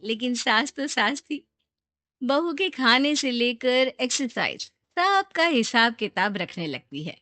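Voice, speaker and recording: A woman's voice: a little high, very animated, slow, bright, forceful tone, no echo. A woman reading a scripted moral story as its narrator.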